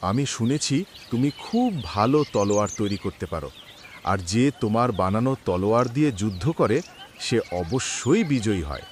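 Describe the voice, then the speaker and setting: A man's voice: fairly low pitch, animated, normal speed, slight background noise, natural voice. Man acting a courteous cartoon king, sweeping intonation. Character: courteous, generous male king of a neighboring kingdom.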